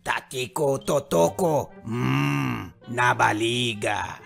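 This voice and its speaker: Hungry wild caveman, children's cartoon, creaky voice, very slow short grunts, gibberish, music behind. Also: medium pitch, exaggerated voice. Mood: hungry, grunting. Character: small wild caveman forest native, hungry and giggly. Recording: music bed under the voice.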